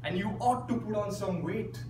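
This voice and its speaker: A man's voice: sits high, moderate pace, distant mic, pitched above the performer's own voice, close to an everyday voice. A man voicing a fond, insistent old grandfather in a live story, chiding and emphatic. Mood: fondly chiding. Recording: echoey room from afar, clear background noise.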